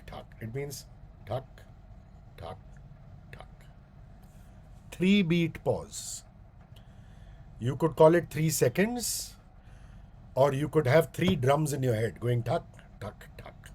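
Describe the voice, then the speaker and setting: A man with a booming voice and an Indian English accent, giving a public speaking workshop as a guest speaker. He talks slowly and deliberately, with long pauses and repeated demonstrations. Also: a little mellow.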